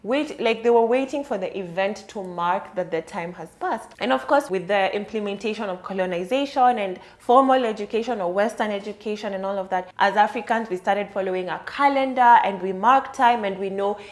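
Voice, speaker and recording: A woman's voice: medium pitch, fairly quick, hardly any pauses. A woman hosting a solo podcast, talking through an idea in a thoughtful, explanatory and conversational way. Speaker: podcast host. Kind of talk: solo reflective podcast monologue.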